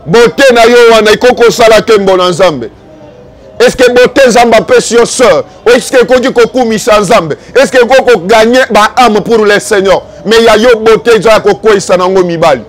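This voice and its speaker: A male evangelical preacher with a Congolese accent and a very high-pitched voice. He delivers a fervent sermon in an exhorting, accusatory manner. He drives his points home through repetition and rhetorical questions. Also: moderate pace.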